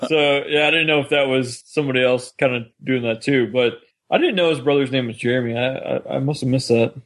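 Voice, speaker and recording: A man's voice: mid-range voice, fairly quick, a bit bright. Man co-hosting a casual podcast. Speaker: podcast co-host. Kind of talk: casual discussion of an audio drama.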